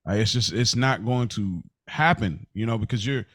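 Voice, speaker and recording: A man co-hosting a podcast in casual chat, thinking his answers through out loud. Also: on the low side, moderate pace, no room sound, studio quality. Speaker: podcast co-host.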